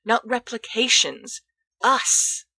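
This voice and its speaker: A woman reading a storybook as a cautious, patient schoolboy with a hushed, hesitant manner. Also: fairly high pitch, animated, slow, creaky, no echo, voice pushed up, full character voice. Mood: realising.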